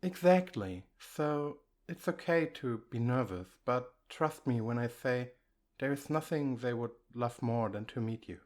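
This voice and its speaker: A man acts out an affectionate boyfriend comforting a nervous partner in an ASMR roleplay. He takes his time over each phrase at a middling male pitch. His delivery is gentle, coaxing and conversational. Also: animated, a little slow, close to an everyday voice. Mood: gently concerned.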